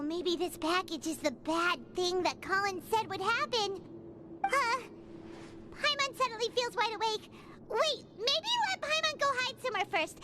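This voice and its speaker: Game fairy companion: very high, squeaky, chatty and jumpy, animated delivery. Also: female voice, heavy background noise. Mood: panicked. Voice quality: squeaky, childlike. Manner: exclamatory, refers to self in third person. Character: tiny childlike floating fairy companion, excitable, cowardly, chatty. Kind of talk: video game voice acting, cartoonish. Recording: loud game music behind voice. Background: game music.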